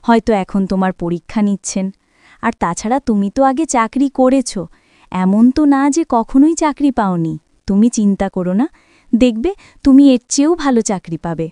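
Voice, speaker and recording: Coaxing, easily aggrieved young wife for a moral cartoon, played by a woman; fairly high, crisp, emotive. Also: lively intonation, fairly quick, warm tone, dry recording, clean studio sound, natural voice. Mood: comforting. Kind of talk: cartoon drama dialogue.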